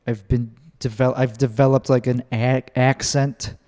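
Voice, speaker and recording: A young man hosting a casual podcast chat, talking in an awkward, halting way with many restarts. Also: mid-range voice, level tone, mellow, in a large hall. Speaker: podcast host.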